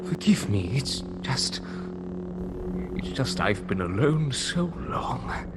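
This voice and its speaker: A man playing a lonely, cunning old hermit in a fan audio drama, halting and pleading, at times breathy. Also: mid-range voice, very animated. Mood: sorrowful, weary. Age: older. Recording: noisy audio drama scene with ambience.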